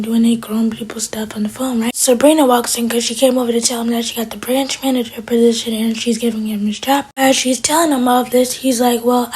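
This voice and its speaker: A woman's voice: a little high, a little mellow, breathy edge, poor audio. A woman whispering her way through a casual recap of a TV episode.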